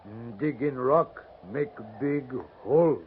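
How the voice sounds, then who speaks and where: An actor playing a wary Sioux brave who speaks terse, halting broken English in a 1950s radio drama. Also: male voice, medium pitch, natural voice. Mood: grave complaint. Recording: tinny, noisy 1950s radio recording.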